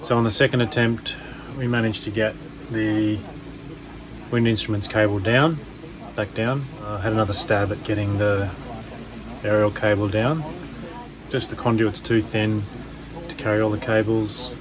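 A man's voice: lowish voice, fairly even intonation, moderate pace, crowd noise, low-quality recording. A man, narrating his sailing vlog in a matter-of-fact, tired and wry way, filmed close up on a handheld camera aboard a boat in a marina. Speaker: sailing vlogger. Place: on a sailboat in a marina, outdoors. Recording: outdoor wind and ambient noise.

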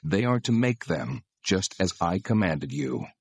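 God as a solemn, commanding male deity in dramatized scripture. The voice sits low and has a rough, husky grain. Delivery is deliberate and authoritative, recorded close and dry. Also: sing-song, no echo, exaggerated voice.

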